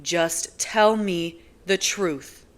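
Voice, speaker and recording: A woman voicing a furious young man in an audiobook, loud and heated as he demands answers. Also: lowish voice, very slow. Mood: demanding.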